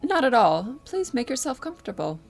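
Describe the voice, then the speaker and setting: A woman's voice: high-pitched, very animated, fairly quick, creaky, soft background music, exaggerated voice. A woman playing a proper, hesitant 1950s housewife, eager to please, in an audio drama. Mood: chatty, awkward.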